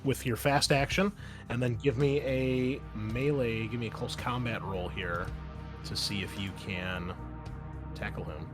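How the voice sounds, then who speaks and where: A man's voice: mid-range voice, fairly even intonation, a little slow. Man running a tabletop game over music. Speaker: game master. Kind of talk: narrating a tabletop roleplaying game. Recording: music playing behind the voice. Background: background music.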